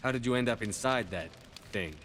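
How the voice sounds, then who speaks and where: An actor playing a resolute, blunt military commander in a video game, speaking tersely and firmly. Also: male voice, mid-range voice, fairly quick, a bit bright, a little hiss or hum, close to an everyday voice. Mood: questioning. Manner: terse, firm.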